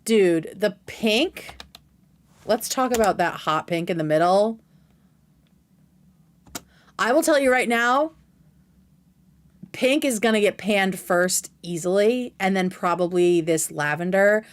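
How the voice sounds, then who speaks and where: A woman's voice: mid-range voice, slow, long pauses. A woman hosting a casual livestream, giving effusive, slangy commentary peppered with swearing. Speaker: livestream host reacting to a video.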